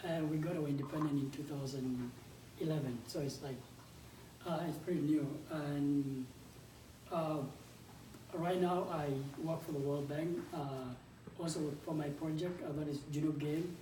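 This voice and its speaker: A young man with a South Sudanese accent presents in a soft-edged, mellow tone. His delivery is halting and conversational, picked up from a distance in an echoey room with faint noise behind. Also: fairly high pitch, steady tone. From South Sudanese, grew up in a refugee camp in Uganda. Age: 20s. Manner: halting, conversational, with frequent repeated words. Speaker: game developer and startup founder, guest speaker. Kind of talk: informal conference presentation.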